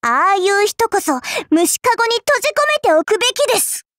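Small cute spirit girl for an anime game: extremely high pitch, sly and teasing, polite but smug, dry studio sound. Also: big pitch swings, a little fast, fairly bright tone, no room sound, exaggerated voice. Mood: wry, grumbling. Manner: polite, coy, teasing, cutesy. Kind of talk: anime game character voice lines.

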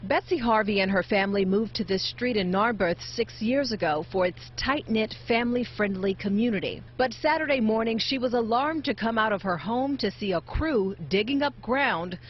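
A woman's voice: on the high side, moderate pace, few pauses, highs cut off, slight background noise. A woman delivering a local TV news report.

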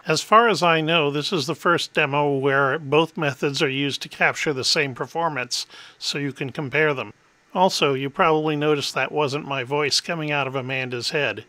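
A middle-aged man explains a tech demo in a clear, methodical way. His voice is bright and a little high, and it sits dry and close with no room sound. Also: no room sound. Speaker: host.

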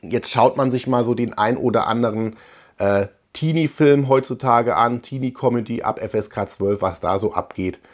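A man's voice: mid-range voice, normal speed. A man in his forties from Germany, hosting a video in a casual, conversational way with musing asides. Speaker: host of a retro film and cartoon collecting channel. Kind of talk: casual chat to camera. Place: small room lined with shelves, indoors.

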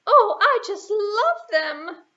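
A woman's voice: very high-pitched, very animated, slow pace, sharp tone, highs cut off, voice pushed up, heavily acted. A woman voicing a sweet, meek, wistful young servant girl in a puppet show for children. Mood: amazed.

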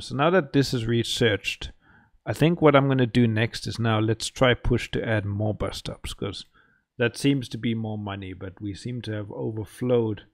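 A man giving casual let's play commentary as a gaming host, muttering and thinking aloud. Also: mid-range voice.

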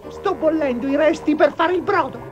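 A man voicing a giant's kindly but anxious wife in a cartoon storybook, speaking in a brisk, urgent way. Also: extremely high pitch, pitched above the performer's own voice. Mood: brisk, explaining. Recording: dull lo-fi TV cartoon audio, music behind.

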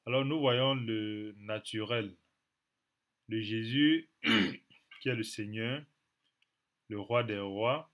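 A man in his thirties with a cold gives a Bible teaching to a webcam from a small room at home. He speaks unhurriedly and a little low, pausing often and repeating phrases for emphasis. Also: a bit bright. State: has a cold, clears throat. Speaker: preacher.

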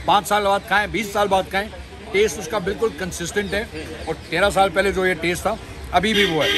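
Animated high-voiced storytelling man. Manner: animated storyteller, explains with emphasis. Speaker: food show co-host. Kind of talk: casual storytelling in a food vlog. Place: busy street market outdoors. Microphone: phone camera at close range.

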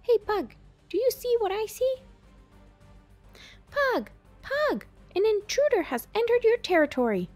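Woman voicing an eager squeaky-high little chick. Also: sing-song, unhurried, long pauses, darkish tone, faint music behind, full character voice. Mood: alarmed. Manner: eager, exclaiming, questioning. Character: excitable young male chick, adoring, eager hero-worshipper.

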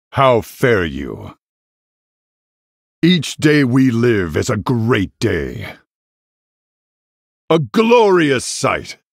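An actor voicing a noble, battle-hardened, middle-aged warrior king in a fantasy video game, gravelly and husky, speaking in a grand, formal, commanding way. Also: on the low side, no echo, studio quality, full character voice. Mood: grave, measured.

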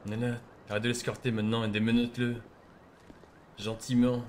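A man's voice: mid-range voice, flat delivery, a little fast, darkish tone, a little hiss or hum. A man improvising casual game roleplay as a crime boss, bossy and slangy, with swearing.

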